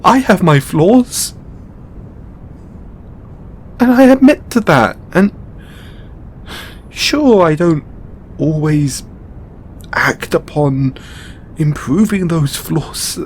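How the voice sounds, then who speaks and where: A man playing a weary, burdened god in an intimate audio roleplay, speaking haltingly with sighs and long pauses. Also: a little high, expressive, slight background noise. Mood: pained, defensive. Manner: halting, sighing, with drawn-out pauses. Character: weary, burdened male god confiding in his lover. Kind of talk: audio roleplay monologue, intimate dramatic acting.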